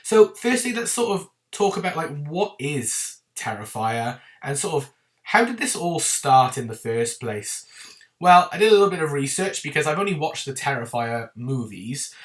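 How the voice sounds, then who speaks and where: A man talks to camera about a film series in a loose, chatty way, with plenty of ums and little apologies. His voice sounds congested and slightly rough from a lingering cold. He is picked up from a little distance away from the microphone. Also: fairly high pitch, very animated, moderate pace. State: bunged up and slightly rough from a winter illness. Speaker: YouTube channel host. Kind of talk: casual film review to camera.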